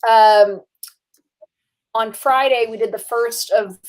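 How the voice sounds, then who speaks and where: A woman's voice: fairly high pitch, fairly even intonation, slow pace, poor audio. A woman hosting a casual movie podcast, chatty and a little tired, with frequent filler words and laughs. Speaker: podcast host. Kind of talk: casual chat.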